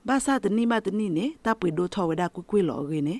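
A woman's voice: medium pitch, fast talker, darkish tone, no echo. A woman radio news presenter reading a scripted bulletin.

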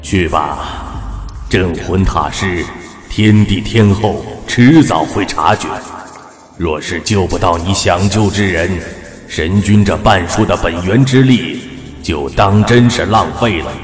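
A deep-voiced, unhurried old immortal. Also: male voice, faint music behind. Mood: grave. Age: older. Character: old male immortal guardian, calm and knowing. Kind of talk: audio drama acting. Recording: echoey, long reverb.

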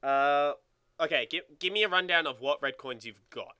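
A man's voice: a little high, moderate pace, bright, forceful tone, clear, resonant tone, no room sound. A young man co-hosting a gaming video with casual, playful banter and crude jokes. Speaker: let's play co-host.

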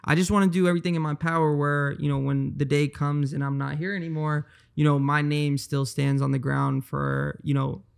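A young man with a slightly high, gravelly voice, speaking earnestly in a casual podcast chat. He often repeats words while he gathers his thoughts, talking into a handheld mic in a bright living room. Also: little pitch movement, brisk, few pauses. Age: 20s. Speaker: guest, trader.

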